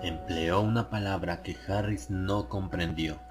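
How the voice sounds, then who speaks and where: A man's voice: low-pitched, steady tone, measured pace, loud music behind the voice. A man narrating a dramatized horror audiobook.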